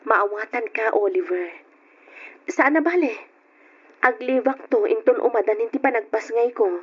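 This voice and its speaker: High-pitched young radio-drama wife. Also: female voice, normal speed, breathy edge. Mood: gentle, reassuring. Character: expectant wife, soft, reassuring, attached to her job. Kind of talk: old radio drama acting. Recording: dull lo-fi radio drama audio.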